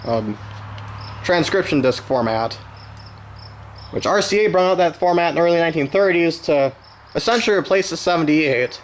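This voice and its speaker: A man with a slightly high voice presents like a hobby collector showing off a find. He talks informally and rambles with folksy enthusiasm. The home recording has some faint noise behind him, with cricket-like chirping. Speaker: vintage radio collector presenting his own channel. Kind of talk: casual unscripted show-and-tell vlog.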